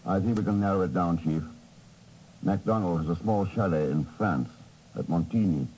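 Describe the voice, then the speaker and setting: An actor playing a composed, loyal secret agent in a 1950s radio drama. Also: male voice, sits low, moderate pace, warm tone, a little hiss or hum, natural voice, low-quality recording. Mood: assured. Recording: vintage 1950s radio broadcast.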